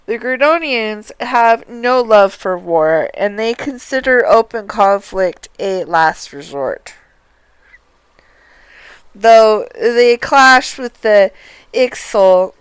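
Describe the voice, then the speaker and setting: A woman streaming a video game and reading its dialogue aloud in a careful, deliberate way. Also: mid-range voice, clear, resonant tone, no echo, low-quality recording.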